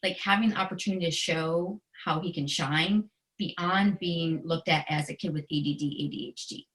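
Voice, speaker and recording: An American woman in middle age speaks with a voice a little lower than most women's. She is earnest, emotionally open and conversational, telling personal anecdotes as an educator and author being interviewed. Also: normal speed, a bit bright, rough recording.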